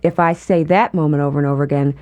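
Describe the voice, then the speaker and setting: A woman guest in an interview, talking thoughtfully and in a measured way about her work. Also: low-pitched, fairly even intonation, a little mellow. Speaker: guest, performer. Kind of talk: conversational interview.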